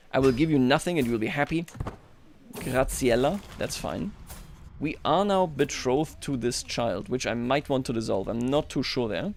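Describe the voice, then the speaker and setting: A man hosting a livestream, chatting casually and thinking aloud over a strategy game in a wry, playful way. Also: on the high side. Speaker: livestream host.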